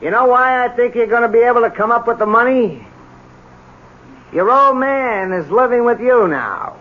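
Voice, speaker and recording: High-pitched, sneering, bullying business partner. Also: male voice, expressive, normal speed. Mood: threatening. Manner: sneering, blunt, tough-talking. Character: bullying, impatient business partner demanding his money. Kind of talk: 1970s radio drama acting. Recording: dull old radio broadcast.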